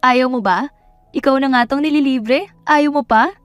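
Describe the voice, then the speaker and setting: A teasing, feisty young gal pal from a romance audio drama, acted by a woman narrator. Her high voice banters and scolds playfully. It is recorded dry over soft music. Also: moderate pace, dry recording, natural voice. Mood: playful coaxing. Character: teasing, feisty young female best friend. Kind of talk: audio romance story character voice.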